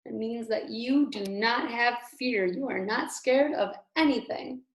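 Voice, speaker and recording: A woman's voice: on the high side, measured pace. A woman kindergarten teacher reading a storybook aloud expressively to young children, with emphasis and explanatory asides. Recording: echoey room.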